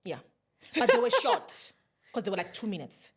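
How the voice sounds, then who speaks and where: A South African woman telling her story in a casual interview, animated, laughing often and slipping in local phrases. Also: a little high, normal speed, vocal fry, slightly rough. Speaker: guest, YouTube creator.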